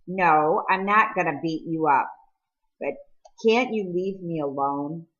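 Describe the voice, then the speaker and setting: A woman's voice: fairly low pitch, takes it slowly. Woman reading as an earnest teenage boy, slightly muffled. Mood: restrained, conciliatory. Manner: calm, conciliatory. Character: earnest, patient teenage boy making peace. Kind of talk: audiobook character dialogue.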